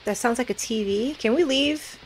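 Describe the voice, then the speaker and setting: A woman's voice: fairly high pitch, moderate pace, slight background noise. A woman giving casual, nervous commentary as she plays a horror game, thinking aloud. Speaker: gaming video host.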